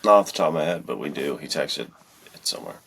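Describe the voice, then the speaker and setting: A man with a very deep, gravelly voice telling stories in a casual, slangy, conversational way on a podcast. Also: animated, fast, a bit bright, slight background noise. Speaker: podcast speaker, filmmaker and writer.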